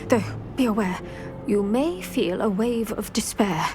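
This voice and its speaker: An actor voicing an older retired Auror woman who speaks briskly and formally in scripted game dialogue. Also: medium pitch, animated, over music, lightly acted. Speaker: retired Auror guiding a student.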